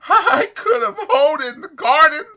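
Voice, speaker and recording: A man voicing an anxious, grieving old ranch hand in an audiobook, with folksy rural speech and a whining, drawn-out delivery. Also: very high-pitched, big pitch swings, sharp tone, pitched above the performer's own voice, exaggerated voice. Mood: tearful longing. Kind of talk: dramatized audiobook character voice.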